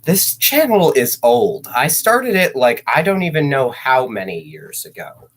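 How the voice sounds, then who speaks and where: Slow-talking teenage boy rambling on stream. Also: medium pitch, sing-song. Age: teens. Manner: rambling, self-mocking, jokey asides. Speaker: livestreamer. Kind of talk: rambling livestream chat.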